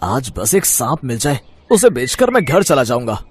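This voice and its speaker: A man's voice: medium pitch, very animated, normal speed, husky. A man playing a wicked, lovesick, scheming snake charmer in a cartoon, with a melodramatic manner. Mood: hopeful. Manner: melodramatic, pleading and gloating.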